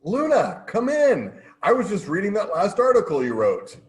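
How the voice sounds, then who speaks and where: An actor playing a brusque, blunt newspaper boss in a stagey comedy play. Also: male voice, high voice, wildly swooping pitch, normal speed, soft background music. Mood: hearty.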